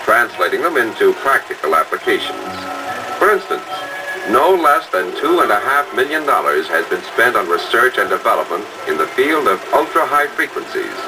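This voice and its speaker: A man's voice: mid-range voice, big pitch swings, moderate pace. A man narrating a 1950s promotional film in a formal announcer style. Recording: distant, muffled old film sound with clear music.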